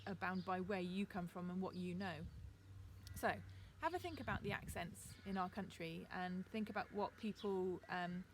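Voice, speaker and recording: A woman teacher with a received pronunciation accent gives a chatty, relaxed video lesson outdoors in her garden, with frequent ums. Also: fairly low pitch, moderate pace, slight background noise.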